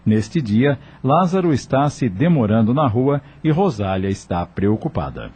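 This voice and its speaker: A man with a husky voice reading scripted narration for a radio drama. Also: medium pitch, very animated, unhurried, darkish tone.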